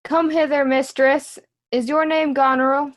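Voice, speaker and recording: A woman's voice: sits high, level tone, unhurried. A woman playing a cheeky, riddling court fool in a Shakespeare reading. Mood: teasing, wry. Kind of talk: remote reader's theatre Shakespeare.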